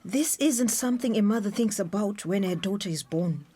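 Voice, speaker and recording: A woman's voice: mid-range voice, animated, moderate pace, mellow, husky. An actress playing a troubled, traditional middle-aged mother in a radio drama, with a hesitant, resistant manner.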